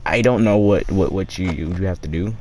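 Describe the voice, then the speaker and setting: A man with a deep voice chatting casually and crudely while streaming a game, rambling and swearing as he plays. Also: normal speed, a little mellow, slight background noise. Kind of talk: casual live-stream chat while gaming.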